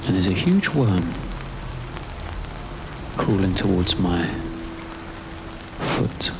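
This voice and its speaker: A British man giving an intimate spoken-word narration in a hesitant, musing way, in fragmented phrases with pauses. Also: deep voice, very animated, gravelly, background noise. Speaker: writer-performer narrating his own piece.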